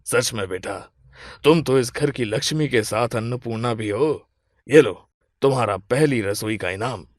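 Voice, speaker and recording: A man voicing a gentle, generous elderly father-in-law in a moral story, soft and fatherly. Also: mid-range voice, unhurried, no echo, studio quality, close to an everyday voice. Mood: affectionate. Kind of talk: Hindi moral-story character acting.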